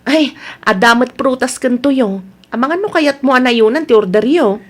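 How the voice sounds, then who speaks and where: A woman plays a patient, friendly market seller from a radio serial. Her voice sits fairly high, and she speaks with an Ilocano Filipino accent in a dramatized, neighbourly way. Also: lively intonation, moderate pace. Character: friendly village market vendor woman, patient cousin and daughter.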